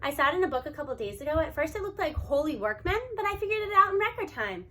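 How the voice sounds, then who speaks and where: A woman reading a children's novel aloud in an expressive, animated storytelling manner. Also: sits high, fast. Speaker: audiobook reader.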